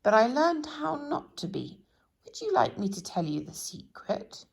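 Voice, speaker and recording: A woman voicing a calm, gentle tramp in a children's story, speaking softly and slowly, sometimes half-whispered. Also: medium pitch, creaky, breathy edge, heavily acted. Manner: soft, slow and kindly, half-whispered. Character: gentle, calm wandering tramp, older man. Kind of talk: storybook read-aloud.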